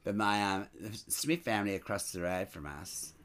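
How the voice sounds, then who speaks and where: Low-voiced chatty man. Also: moderate pace. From Scotland, raised in Sydney. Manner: lively, expressive, frequent "you know" asides. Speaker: stage entertainer and show producer. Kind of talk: chatty interview storytelling.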